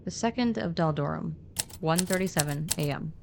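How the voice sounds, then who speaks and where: A woman's voice: sits low, normal speed, vocal fry, background noise. A woman narrating a scripted fantasy story podcast, with conversational asides. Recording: background music under voice.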